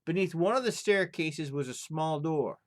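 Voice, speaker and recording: A man's voice: a little high, moderate pace. A man telling folktales as an informal storyteller, moving between casual chat and narration.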